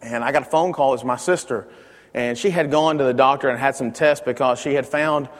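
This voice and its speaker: A man in his thirties preaches a Bible lesson to a class in a loud, emphatic voice, often doubling words as he restarts. His voice sits at an average pitch for a man. He speaks into a microphone, and the room adds a long, ringing reverb. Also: brisk. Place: church classroom.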